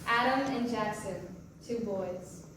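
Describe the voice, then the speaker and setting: A woman's voice: medium pitch, slow pace, a bit bright. An actress playing a chatty, guarded divorced mother on a date in a naturalistic staged play reading. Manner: probing, playfully defensive questioning. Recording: distant mic in roomy echo, hissy noise.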